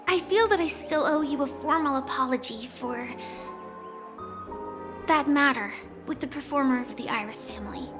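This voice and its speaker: An actress playing a gentle young woman on the run in a video game, earnest and guarded, with a soft, measured delivery. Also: high voice. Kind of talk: dramatic video game voice acting. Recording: clear music behind the voice.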